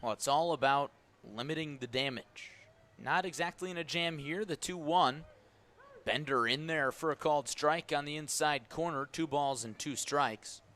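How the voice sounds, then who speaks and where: A man's voice: on the high side, normal speed, pushed and bright. An American man calling baseball play-by-play live on the radio. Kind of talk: live radio sports commentary. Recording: live broadcast with background noise. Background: ballpark ambience.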